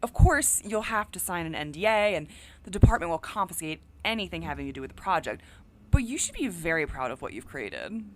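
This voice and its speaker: A woman playing a composed, politely businesslike official in an audio drama, speaking in a measured, formal and courteous way. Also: fairly low pitch, big pitch swings. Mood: formal, congratulatory.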